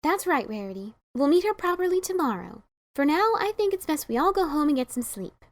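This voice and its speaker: A woman's voice: high-pitched, sing-song, fairly quick, no room sound. A woman voicing a sensible, scholarly young female cartoon pony, speaking in a dry, scolding, matter-of-fact way. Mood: calm. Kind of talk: dramatic reading of a cartoon fan story.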